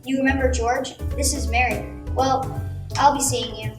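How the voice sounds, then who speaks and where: A woman's voice: high voice, fairly even intonation, moderate pace, lightly acted. A young woman acting a brash, pushy small-town pal in an amateur radio play. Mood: chummy, pushy. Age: young adult. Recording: roomy, distant mic, loud music underneath.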